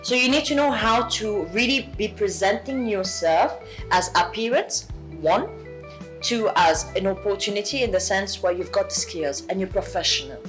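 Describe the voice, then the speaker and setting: Woman, Southwest English accent, earnest, halting, mid pitch, faint music underneath. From England. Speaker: actress guest. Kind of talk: casual podcast chat.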